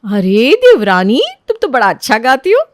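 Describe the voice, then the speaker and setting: Woman acting a gloating, high-pitched scheming sister-in-law. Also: huge pitch swings, normal speed, no room sound, clean studio sound. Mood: gushing false praise. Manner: sugary false praise, gloating asides.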